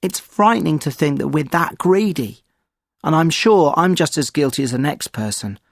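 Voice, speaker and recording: A man giving a measured, opinionated sample answer in a scripted exam speaking recording. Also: fairly high pitch, animated, vocal fry, no room sound, clean studio sound.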